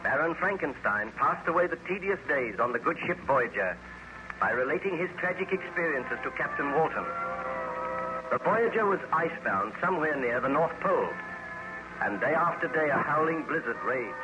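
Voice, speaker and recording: A man's voice: a little high, lively intonation, normal speed, noisy background. A man giving scripted narration as announcer of an old-time radio serial. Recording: dull old radio recording, loud music.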